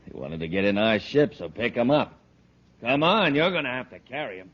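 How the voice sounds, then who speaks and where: An actor playing a tough, sneering male space-outlaw henchman in 1950s radio drama style, gruff, menacing and sardonic. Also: medium pitch, sing-song, a little fast. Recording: muffled old radio recording, background noise.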